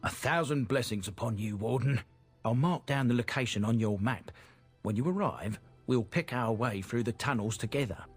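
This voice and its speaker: Husky, earnest merchant. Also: male voice, medium pitch, moderate pace. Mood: grateful. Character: earnest male merchant petitioning a Warden for help. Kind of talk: fantasy game dialogue acting. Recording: game dialogue over background music.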